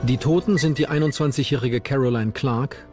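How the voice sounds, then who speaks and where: A man's voice: mid-range voice, moderate pace. A man reading scripted narration for a true-crime documentary. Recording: narration over background music.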